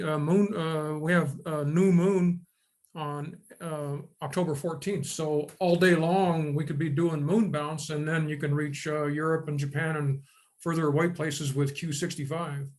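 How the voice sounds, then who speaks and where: A man with a voice a little higher than average takes part in an online meeting. His delivery is informal and tentative, with a halting flow. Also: steady tone, darkish tone. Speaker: meeting participant. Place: online conference call.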